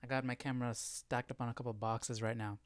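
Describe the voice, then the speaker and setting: A man hosting a live Bible study stream, talking informally and haltingly as he thinks aloud. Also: medium pitch, flat delivery, dry recording. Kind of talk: casual livestream chat.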